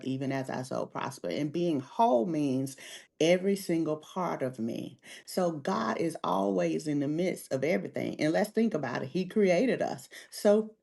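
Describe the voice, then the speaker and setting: A woman's voice: sits low, vocal fry, a little mellow. A woman life coach answering interview questions in an earnest, encouraging way. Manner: earnest, faith-centered encouragement. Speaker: life coach, podcast guest.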